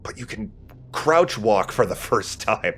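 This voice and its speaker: A man's voice: lowish voice, big pitch swings, unhurried, fairly bright tone, slightly rough. A man giving casual, joking, slangy commentary as a let's play co-host. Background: video game ambience.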